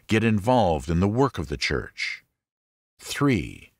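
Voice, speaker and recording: Low-voiced man reading an audiobook, measured. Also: no echo, studio quality. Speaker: audiobook narrator. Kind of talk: scripted narration of a religious self-help book.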